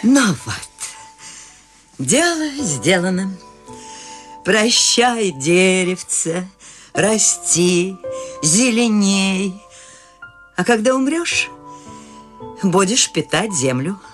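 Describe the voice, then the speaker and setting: A woman voicing a free-spirited, whimsical elderly woman in a radio play, speaking in a lilting, gently ironic way. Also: medium pitch, sing-song, very slow, pauses often, soft background music. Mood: dreamy. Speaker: eccentric old woman Maud.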